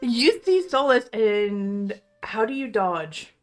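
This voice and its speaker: Woman, game master, unhurried delivery, tabletop narration, instrumental music underneath. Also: on the high side, sing-song.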